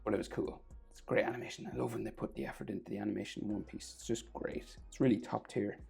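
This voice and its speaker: A man with a sore throat and a crackly, gravelly voice, talking casually through a drawing tutorial, often trailing off to a near whisper. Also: fairly low pitch, steady tone, quick pace, mellow, soft background music.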